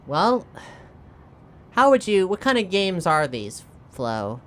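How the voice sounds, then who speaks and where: A man's voice: sits high, very animated, slow pace. A man chatting informally and inquisitively as a player in a tabletop roleplaying game. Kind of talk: casual improvised game chat.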